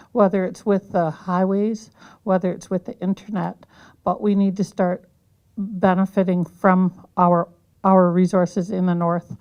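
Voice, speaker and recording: A woman candidate giving a hesitant campaign speech, clearing her throat now and then. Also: mid-range voice, dull audio. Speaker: political candidate. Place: community hall.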